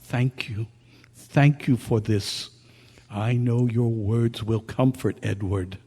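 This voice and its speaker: Male, gentle radio-play storyteller read by a man, unhurried, echoing hall. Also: medium pitch, steady tone, breathy edge. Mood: gentle, concerned. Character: Colonel Bear, kindly listener comforting a ghost, also narrator. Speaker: narrator and actor. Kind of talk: staged radio drama reading. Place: live club meeting in a hall. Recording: echoey hall with long reverb.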